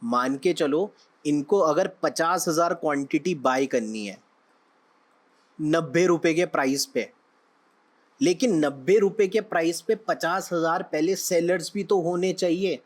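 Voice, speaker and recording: A young man, slightly hoarse, teaching a trading lesson in a conversational way, often checking in with his listeners. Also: a little high, unhurried. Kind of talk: explaining lesson with analogies.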